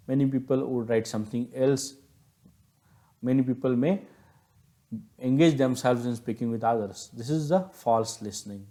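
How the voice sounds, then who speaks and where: A male teacher with an Indian accent delivers an explanatory lecture. His voice is mid-pitched with a soft, mellow tone. He speaks didactically, leaning on key words and restating each point in other words.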